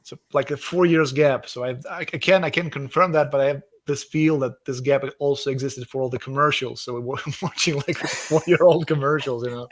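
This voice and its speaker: A man's voice: medium pitch, fairly even intonation, a little fast, hardly any pauses. A man from Russia with a Russian accent, speaking as an author guest in a casual video call interview, enthusiastic and often repeating words as he searches for phrasing.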